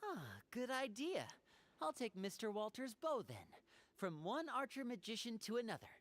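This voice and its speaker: A woman voicing a lively, determined young female magician in an anime game. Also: a little high, normal speed. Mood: cheerful. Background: music.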